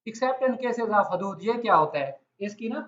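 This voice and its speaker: A Pakistani man teaches like a law lecturer, talking directly to his listeners and putting questions to them. His voice is strikingly high-pitched and forceful. The sound is dull and lo-fi, as if captured in a roomy space. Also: lively intonation, unhurried. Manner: conversational, puts questions to listeners. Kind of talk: explanatory lecture with everyday examples. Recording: echoey room, dull lo-fi sound.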